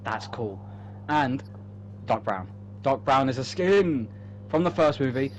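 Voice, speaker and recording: A man's voice: medium pitch, animated, slow pace. Guy just rambling away enthusiastically, sweary casual chat, noisy homemade recording. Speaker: co-host. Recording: clearly noisy background, home-made recording.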